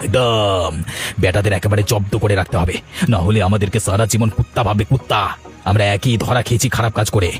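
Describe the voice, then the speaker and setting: A man's voice: lowish voice, steady tone, fast talker, faint music behind, natural voice. A man voicing a vengeful, cowardly village schemer in a cartoon. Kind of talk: Bengali cartoon voice acting.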